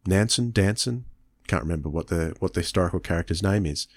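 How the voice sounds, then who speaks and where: A man's voice: sits low, fast talker, no room sound, studio quality. A man co-hosting a podcast, chatting casually and appreciatively about what he has heard, often repeating phrases as he feels his way along. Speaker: podcast co-host. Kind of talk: casual review chat.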